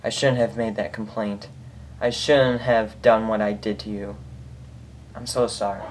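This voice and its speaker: An amateur reader voicing a remorseful pony executioner, a grieving mother in a fan fiction, quiet and sorrowful. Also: mid-range voice, fairly even intonation, unhurried, slight background noise, lightly acted, low-quality recording.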